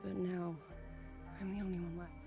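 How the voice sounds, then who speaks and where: An actress playing an earnest young woman soldier in a video game, speaking gravely and formally. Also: fairly low pitch, little pitch movement. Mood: grave, urgent. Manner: formal, grave, measured delivery. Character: earnest young woman soldier, last survivor of her squad. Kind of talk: dramatic video game voice acting. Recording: game music behind the voice.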